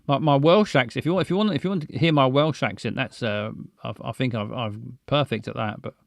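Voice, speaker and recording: A man's voice: medium pitch, brisk, creaky, dry recording. Brief: stammering man in chatty livestream, close and dry. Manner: stammering, rambling, self-mocking. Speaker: livestream host. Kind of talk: casual chat with viewers.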